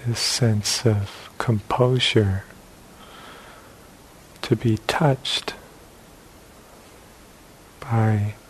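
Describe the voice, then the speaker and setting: A man leading a guided meditation as a teacher, speaking in short phrases with long pauses between them. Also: medium pitch, low-quality recording. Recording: hissy live talk recording.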